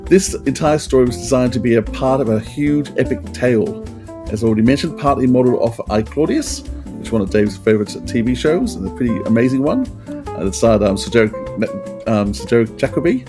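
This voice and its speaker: Man, middle-aged, podcast host, mid-pitched voice, conversational rundown, clear music backing. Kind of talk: conversational trivia rundown and discussion.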